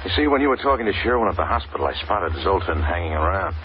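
Casting: brisk male reporter sidekick, low voice, quick casual slang, vintage radio drama with muffled sound. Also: animated. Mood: brisk, confiding. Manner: casual, slangy, matter-of-fact. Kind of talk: 1950s radio adventure acting. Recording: muffled old radio broadcast, faint noise.

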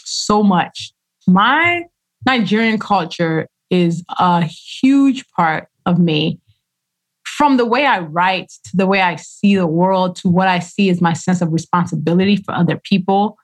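A woman's voice: on the low side, a little slow. A woman author in a casual interview, speaking expressively, acting out quoted voices and using slang. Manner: expressive storyteller who acts out quoted voices, uses slang. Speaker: author guest.

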